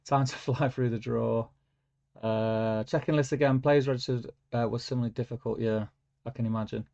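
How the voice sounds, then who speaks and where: A man's voice: mid-range voice, steady tone, normal speed, vocal fry, darkish tone. A man hosting a casual livestream, reading out viewer comments with lots of fillers and humming to himself. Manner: rambling, filler-heavy, hums while scrolling. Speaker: livestream host. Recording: lo-fi stream audio.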